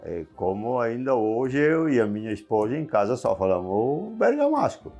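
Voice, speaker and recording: An older man, calmly recounting memories as an interviewee. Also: mid-range voice, moderate pace, soft background music. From southern Brazil.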